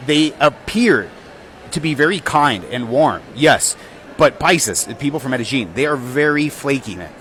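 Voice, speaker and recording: A man's voice: mid-range voice, fairly bright tone, a little hiss or hum. Blunt Mexican American man outdoors. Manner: blunt, frank. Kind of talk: casual opinion vlog.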